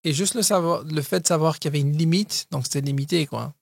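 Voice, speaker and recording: Gravelly Brazilian-born man in his thirties. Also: a little high, fast, dry recording, studio quality. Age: 30s. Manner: storytelling with frequent fillers and restarts. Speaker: guest entrepreneur. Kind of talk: casual podcast interview.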